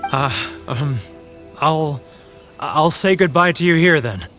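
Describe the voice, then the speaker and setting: A man's voice: a little high, slow, fairly bright tone, soft background music. An actor playing a timid, earnest and awkward man in a video game, speaking hesitantly and apologetically. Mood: awkward, apologetic.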